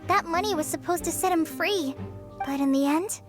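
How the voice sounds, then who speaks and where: A woman's voice: very high-pitched, moderate pace, music in the background. A woman voicing a small, childlike fairy companion in a video game, earnest and inquisitive. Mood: earnest, thoughtful.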